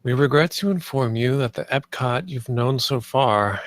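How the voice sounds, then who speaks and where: A man's voice: medium pitch, lively intonation, moderate pace, no echo, natural voice. A man playing an earnest, idealistic theme park designer in a screenplay table read, with a speechlike delivery. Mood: earnest, visionary.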